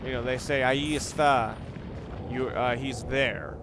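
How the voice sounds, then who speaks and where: A man with a mid-pitched voice gives casual Let's Play commentary. He rambles, circling back to rephrase himself, over clearly noisy video game ambience. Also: moderate pace. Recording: noisy, game sounds behind the voice.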